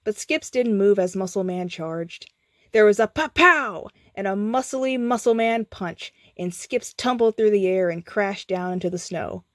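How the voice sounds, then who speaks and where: A woman's voice: medium pitch, lively intonation, measured pace, dry recording. Woman storyteller, dry close sound. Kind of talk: scripted bedtime story narration.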